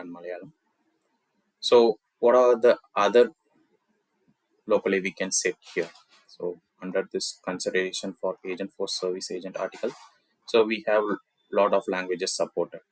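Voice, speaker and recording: A man with a South Indian accent, a native Tamil speaker, presenting a software tutorial in an explanatory walkthrough style. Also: low-pitched, dry recording, low-quality recording.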